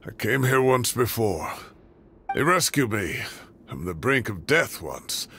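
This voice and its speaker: An actor playing a weary, remorseful older scholar in a game, recounting in a halting, reflective way. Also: male voice, mid-range voice, big pitch swings, sharp tone, a little hiss or hum, heavily acted. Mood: wistful. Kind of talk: dramatic scripted game narration.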